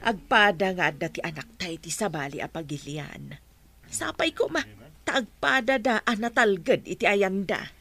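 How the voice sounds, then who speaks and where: A woman's voice: mid-range voice, lively intonation, unhurried, bright, forceful tone, full character voice. A woman with an Ilocano accent voicing a worried, scolding middle-aged mother in a radio drama.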